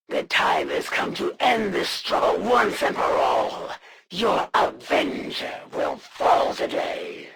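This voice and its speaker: A woman voicing a proud, menacing female alien assassin in a video game, with a gravelly voice and a haughty, formal, commanding delivery. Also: medium pitch, fairly bright tone, slight background noise, heavily acted. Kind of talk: theatrical game villain voice acting.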